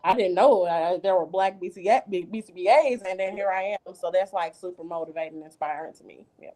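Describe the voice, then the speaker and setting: A woman's voice: fairly low pitch, brisk, warm tone. A woman telling a casual anecdote on a panel, animatedly retelling what people said to her. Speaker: behavior analyst on a panel.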